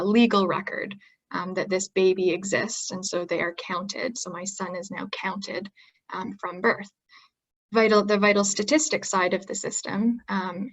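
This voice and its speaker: A young adult woman giving a measured explanatory presentation on a webinar, with frequent um fillers. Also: medium pitch, vocal fry, a bit bright, rough recording. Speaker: webinar panelist.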